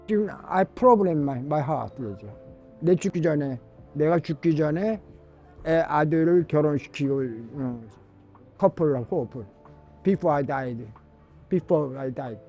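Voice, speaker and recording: A man in his eighties with a Korean accent, a native Korean speaker giving halting interview answers. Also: on the high side, warm tone, faint music behind. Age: 80s. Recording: dull, muffled lo-fi sound.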